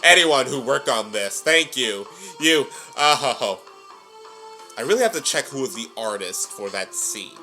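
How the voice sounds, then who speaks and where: A man's voice: medium pitch, lively intonation, unhurried, sharp tone, soft background music. A man hosting a reaction video in casual commentary, exclamatory and playfully theatrical.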